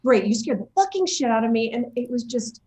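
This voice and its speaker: A woman chatting casually as an interview guest, talking in an effusive, animated storytelling way. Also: fairly high pitch, fairly quick, crowd noise. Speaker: interview guest, game voice and motion capture actor.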